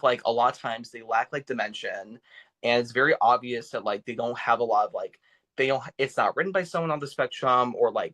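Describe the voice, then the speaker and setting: A man's voice: mid-range voice, fairly bright tone. A young man, a podcast guest and actor, talking casually with many fillers and restarts. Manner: halting, full of "like" fillers and restarts. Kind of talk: casual interview talk.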